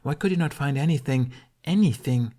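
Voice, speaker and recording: A man's voice: medium pitch, normal speed, dark tone. A man reading an audiobook of fantasy fiction as a dramatic, intense narrator.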